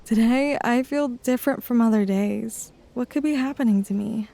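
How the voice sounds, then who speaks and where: A man voicing a timid, easily startled young woman who works at a hotel, in a breathless falsetto. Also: moderate pace, vocal fry, warm tone. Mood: uneasy.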